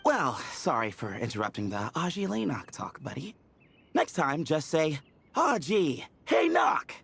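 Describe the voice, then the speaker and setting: An actor playing a breezy, teasing young adventurer in a video game, casual and playful. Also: male voice, on the high side, big pitch swings, unhurried, vocal fry, a bit bright, breathy edge, heavily acted.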